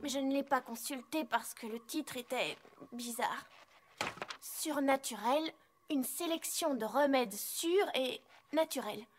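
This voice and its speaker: A woman's voice: sits high, a little slow, a little hiss or hum. An actress voicing an earnest, bookish young female unicorn in a French cartoon dub, speaking articulately and expressively. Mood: apologetic. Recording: loud music behind the voice.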